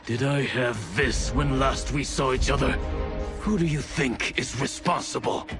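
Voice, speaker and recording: A man's voice: mid-range voice, measured pace, over music, lightly acted. A man playing a stern, aggrieved ninja clan leader in a game cutscene, speaking formally and solemnly. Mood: indignant. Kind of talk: dramatic video game cutscene acting. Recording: cinematic score under the voice.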